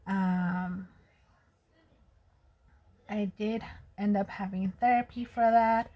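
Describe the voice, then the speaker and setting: A woman's voice: mid-range voice, fairly even intonation, takes it slowly, dark tone. A woman in her thirties talks to her viewers in a chatty, personal way while she does her makeup. Her voice has a slight lisp. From United States. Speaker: vlogger. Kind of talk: casual chat while doing makeup.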